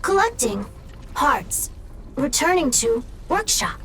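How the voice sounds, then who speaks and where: A woman voicing a small, patient robot in a video game, speaking in terse, halting, mechanical fragments. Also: high-pitched, sing-song, pushed and bright, slight background noise, full character voice. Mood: insistent. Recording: music behind the voice.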